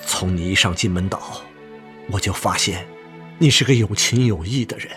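An actor playing a gravely ill, resigned army man in a radio drama, speaking haltingly and weakly. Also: low-pitched, a bit bright, soft background music. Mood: earnest, pleading. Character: gravely ill older army friend, weary, resigned, earnest. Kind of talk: broadcast radio drama acting.

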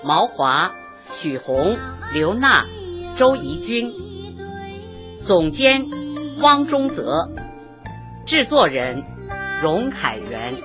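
A woman announcer with a mid-pitched voice reads radio credits slowly and formally. Her intonation is very lively, and the recording is dull, with music behind her. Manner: formal, measured enunciation. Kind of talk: scripted radio credits reading. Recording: dull, lo-fi audio over music.

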